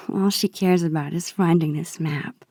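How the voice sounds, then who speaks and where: A gentle, introspective teenage girl from a video game. Her voice is soft and husky with breath, recorded very dry and close. She takes her time over each phrase, hesitant and wistful, as if musing to herself. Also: on the low side, steady tone, slow pace, dry recording. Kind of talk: naturalistic video game dialogue and inner monologue.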